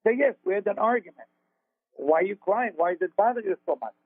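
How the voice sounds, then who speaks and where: A man's voice: sits high, animated, fast, dry recording. A man with an Israeli accent, a spiritual teacher telling a story on a radio talk show in earnest, confessional tones and broken English phrasing. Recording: dry phone-line sound.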